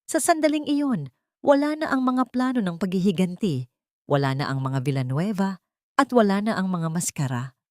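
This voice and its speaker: A woman's voice: medium pitch, expressive, moderate pace, dry recording, clean studio sound. A woman with a Filipino accent reading a scripted dramatic love story in a solemn, melodramatic manner.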